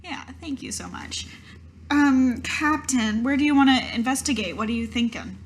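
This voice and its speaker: A woman's voice: high-pitched, moderate pace, slight background noise. A woman playing a tabletop game, improvising in character in a casual, somewhat hesitant, questioning way.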